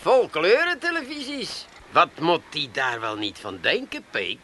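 High, animated Amsterdam busybody. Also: male voice, a little slow, slight background noise, full character voice. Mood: mocking, insinuating. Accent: Amsterdam Dutch. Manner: teasing, insinuating, repeats words. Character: nosy, teasing Amsterdam family man, slyly wheedling. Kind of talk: comic radio play acting.

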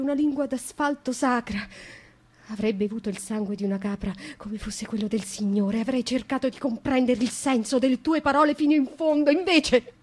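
An actress in a radio play voicing a young noblewoman who confesses to killing her father, speaking intensely, dropping to a hush and rising to impassioned outbursts. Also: fairly high pitch, lightly acted. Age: young adult. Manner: intense, shifts from hushed to impassioned. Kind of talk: dramatic radio monologue.

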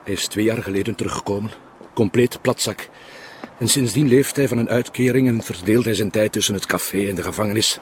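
A man's voice: lowish voice, fairly quick, slight background noise, lightly acted. An actor with a Flemish accent playing an earnest, literal-minded junior policeman in a TV crime drama, polite and hesitant. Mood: earnest, unsure. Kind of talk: naturalistic TV crime drama dialogue.

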